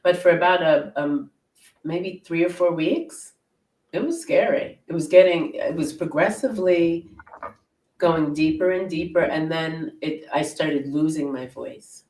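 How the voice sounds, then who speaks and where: Deep-voiced middle-aged woman, roomy and distant. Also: measured pace, a little mellow. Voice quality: naturally deep voice. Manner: self-deprecating, laughs often. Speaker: guest hypnotherapist. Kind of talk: casual interview chat. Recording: roomy sound from far off the mic.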